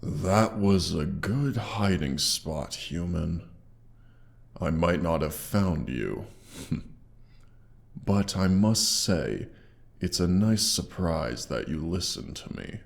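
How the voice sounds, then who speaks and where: A man voicing a haughty, menacing dragon guarding his hoard in a scripted audio drama, with theatrical pauses and monster effects on the voice. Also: sits low, animated, vocal fry, heavily acted. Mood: condescending, curious. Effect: monster voice processing.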